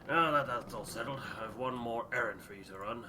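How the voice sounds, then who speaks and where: A man's voice: medium pitch, fairly quick, very noisy, lightly acted. A man voicing a stern, shrewd expedition ship captain with a clipped, commanding, dry manner in a story game. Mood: businesslike.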